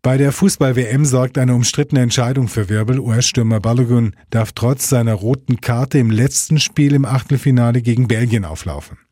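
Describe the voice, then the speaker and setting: A man's voice: mid-range voice, moderate pace, few pauses, dark tone, dry recording, clean studio sound. A man reading scripted radio news as the anchor, in standard German.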